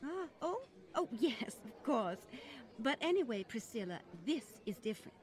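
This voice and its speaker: A woman's voice: on the high side, big pitch swings, normal speed. A woman playing a genteel older society lady, fussy, flustered and condescending, in an old-time radio style drama. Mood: defensive, condescending.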